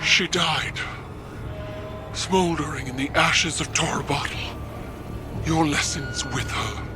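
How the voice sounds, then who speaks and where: An actress playing a proud, stern alien empress in a video game, speaking in a commanding, formal and defiant manner. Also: female voice, low voice, takes it slowly, over music. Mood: grim. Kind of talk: dramatic video game cutscene acting.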